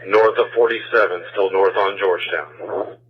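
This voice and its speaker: American male officer, husky voice, vehicle-tracking updates through a muffled police scanner. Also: low voice, steady tone, normal speed. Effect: police radio. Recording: dull police radio scanner transmission.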